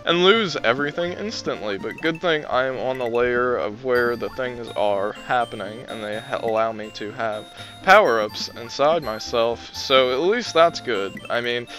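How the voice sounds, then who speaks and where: A man's voice: medium pitch, animated, normal speed, fairly bright tone, soft background music. A young man giving casual, rambling commentary over his gameplay, wry and self-deprecating, his voice strained from talking a long time. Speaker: let's play commentator.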